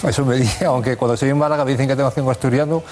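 Quick-talking male radiologist guest. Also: mid-range voice, steady tone, a little mellow. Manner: brief, quick replies. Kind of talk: radio interview.

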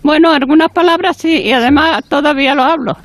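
A woman's voice: high-pitched, brisk. An older woman with an Andalusian accent, chatting as a guest in an emphatic, anecdotal way with repeated words. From Andalusia, Spain. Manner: emphatic, repeats words, acts out quoted exchanges. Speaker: interview guest. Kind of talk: lively anecdotal chat.

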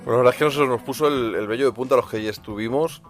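A man's voice: medium pitch, very fast. A man hosting a radio music show, chatting casually, teasing his co-host and talking up bands.

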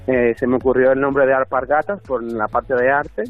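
A man's voice: medium pitch, fairly even intonation, normal speed. Dominican man on a thin, phone-like sound. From Dominican Republic. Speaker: interview guest, artist and designer. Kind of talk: conversational interview answers. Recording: thin phone-like band, faint music.

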